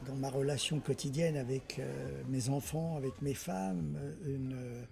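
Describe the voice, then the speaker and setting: Gravelly, reflective film director. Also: male voice, medium pitch, steady tone, measured pace, mellow. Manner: hesitant, repeating words, reflective. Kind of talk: casual interview.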